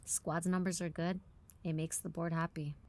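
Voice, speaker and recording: A woman's voice: fairly low pitch, level tone, moderate pace, a little mellow, no room sound, close to an everyday voice. A woman acting in an audio drama as a guarded, defiant operative, with a curt, blunt, dry delivery. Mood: guarded, matter-of-fact.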